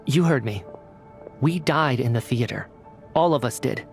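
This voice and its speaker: An actor playing an earnest young man in a video game cutscene, telling his story in a measured, dramatic way. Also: medium pitch, lively intonation, gravelly, soft background music. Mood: grave, resigned. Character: earnest young man, a lost soul recounting his death.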